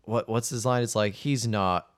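A man's voice: fairly even intonation, normal speed. A man co-hosting a casual podcast, retelling film scenes and quoting characters' lines. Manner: narrates scenes, quotes lines in high voices. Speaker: podcast co-host. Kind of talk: casual chat recapping a film.

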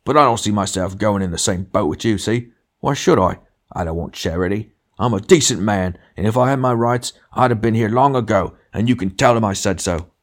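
A man's voice: on the low side, fairly quick, pitched above the performer's own voice, natural voice. A man voicing a big, sulky working-class male ghost in an audiobook, self-righteous and argumentative, full of blustering grievance. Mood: aggrieved. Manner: blustering, argumentative, punctuating with demands. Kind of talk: literary audiobook character dialogue.

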